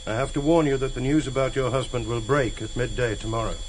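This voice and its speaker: Brisk, formal actor as a policeman. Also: medium pitch, steady tone, close to an everyday voice. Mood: formal, warning. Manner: formal, official. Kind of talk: British radio drama acting. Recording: faintly noisy vintage radio recording.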